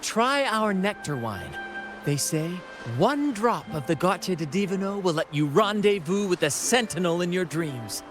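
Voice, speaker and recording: A man's voice: high-pitched, very animated, a little slow, music in the background. An actor playing a confident, flamboyant carnival showman in a video game, breezy and playful in manner. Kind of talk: video game cutscene acting.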